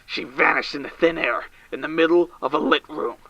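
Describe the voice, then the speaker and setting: A man's voice: on the high side, fairly quick, gravelly, lo-fi sound, a crowd in the background. An actor playing a distraught, drinking male caller on the edge of a breakdown, panicky and stammering, in an audio drama. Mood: shaken. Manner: frantic, panicky, shouting and stammering. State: drunk. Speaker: caller to a late-night radio show.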